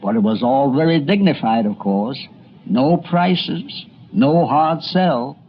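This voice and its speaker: A man's voice: medium pitch, lively intonation, takes it slowly, muffled recording, a little hiss or hum. An American man narrating a radio history documentary in a conversational, folksy and wry storytelling way.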